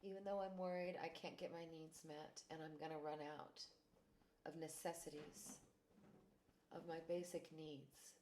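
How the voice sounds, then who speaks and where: Woman, unhurried calm guide, lowish voice, leads a tapping exercise with repeat-after-me phrases. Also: slight background noise. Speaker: tapping practitioner.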